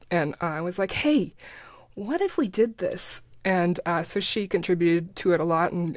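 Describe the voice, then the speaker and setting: A woman's voice: lowish voice, dry recording. Woman podcast guest talking, dry close sound. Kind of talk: casual conversational storytelling.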